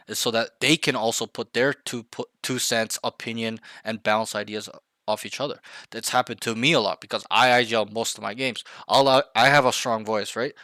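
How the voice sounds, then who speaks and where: Man, game coach, blunt emphatic delivery, repeats himself for stress, forceful bright voice. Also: medium pitch, no room sound. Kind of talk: coaching session, direct feedback.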